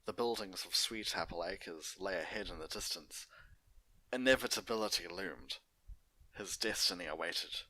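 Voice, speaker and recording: Man, deep pitch, crisp bright tone, story narrator, host, unedited fan fiction reading. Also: normal speed, dry recording, low-quality recording.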